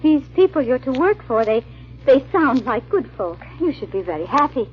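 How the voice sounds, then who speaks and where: A woman's voice: sits high, big pitch swings, normal speed. A woman playing a hunted, anxious young wife who recounts her escape in a 1940s radio drama, on a muffled old broadcast recording. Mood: worried, pleading.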